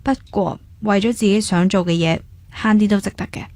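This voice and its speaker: A woman's voice: mid-range voice, fairly even intonation, a little fast, darkish tone, no echo, lightly acted. A woman with a Hong Kong Cantonese accent acting a determined, thrifty young dance teacher in a radio drama, in a plain, casual, matter-of-fact way. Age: young adult.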